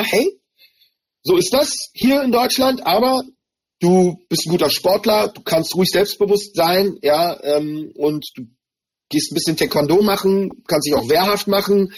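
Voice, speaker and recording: A man, a native Hebrew speaker raised in Germany, giving a thoughtful, earnest interview and often pausing to search for his words. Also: fairly high pitch, breathy edge. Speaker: interview guest, rapper. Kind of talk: personal reflective interview talk.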